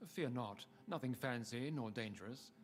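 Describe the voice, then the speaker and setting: Male actor as centuries-old British vampire club founder for a game: imperious, measured, ceremonious, mid-pitched voice. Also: faint music behind, lightly acted. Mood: ceremonial. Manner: formal, grandiloquent, measured. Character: ancient aristocratic male vampire lord, founder of a secret club. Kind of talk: scripted video game dialogue.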